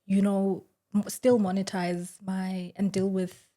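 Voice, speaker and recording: A woman's voice: medium pitch, flat delivery, slow pace. Woman podcast host asking questions. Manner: warm affirming listener, asks questions. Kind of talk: casual interview chat.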